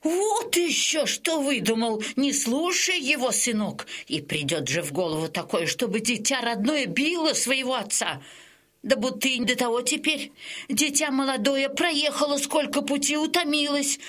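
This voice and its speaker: A woman's voice: high voice, normal speed, a bit bright. An actress playing a doting, scolding old Cossack mother in a radio play, lamenting and tender. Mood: fretful scolding.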